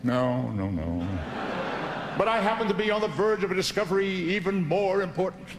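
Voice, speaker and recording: A man's voice: sits high, very animated, normal speed. Casting: male actor as dithering, self-conscious eccentric inventor for an old-time radio play, muttering and repetitive, in a noisy, ringing space. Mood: modest, bashful. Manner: muttering, chuckling, distracted, humming and repeating himself. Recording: noisy, long reverb, ambience behind.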